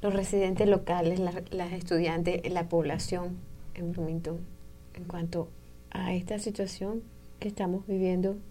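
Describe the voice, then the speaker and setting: A woman's voice: lowish voice, flat delivery, unhurried, mellow, slight background noise. A woman hosting a community radio talk show, laughing often as she asks her guests questions. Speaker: radio host. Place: radio studio.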